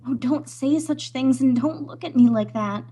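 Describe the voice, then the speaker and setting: A woman plays a young heroine of a radio melodrama, torn and anguished. Her voice is high and husky, and she pleads in breathless phrases. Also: moderate pace, mellow. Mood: bitter anguish. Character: anguished young half-Hawaiian daughter, torn by love. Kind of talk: old-time radio melodrama acting.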